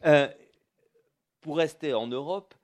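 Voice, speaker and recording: A man's voice: a little high, slow. A man interviewing an author, asking questions with many restarts and frequent chuckles. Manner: stumbling restarts, warm chuckles.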